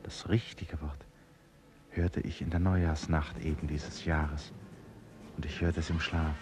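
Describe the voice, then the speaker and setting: Brooding radio-drama narrator remembering long ago; very deep, unhurried, literary, with music underneath. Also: male voice. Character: reflective man recalling a mysterious year long ago. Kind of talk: 1970s German radio play monologue. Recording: studio radio play with music behind.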